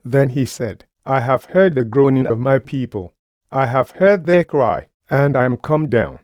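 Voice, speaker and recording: A man delivers a sermon as a preacher in a mid-pitched voice. He is emphatic, circling back over key phrases and posing rhetorical questions. The sound is dry, with very little room echo. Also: lively intonation, measured pace, lo-fi sound.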